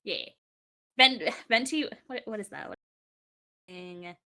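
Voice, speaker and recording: A woman's voice: medium pitch, slow pace, pushed and bright. A woman chatting casually as a panelist on a group podcast.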